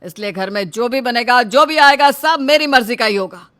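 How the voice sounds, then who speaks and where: A performer plays a tyrannical father and shop owner in a melodramatic family story. The voice is bright and hard-edged, always domineering, with a threatening, shouting delivery. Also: medium pitch, expressive, normal speed. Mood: angry, domineering. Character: tyrannical, short-tempered middle-aged father and shop owner. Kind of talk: melodramatic audio story dialogue.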